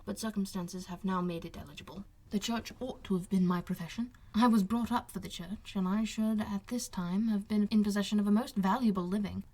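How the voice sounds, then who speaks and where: A young gentleman officer from a period novel , voiced by a female reader. The voice is husky and sits at a middle pitch. He explains his wrongs earnestly, with formal, self-pitying politeness. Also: close to an everyday voice, low-quality recording. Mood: wistful, confiding. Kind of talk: audiobook character dialogue.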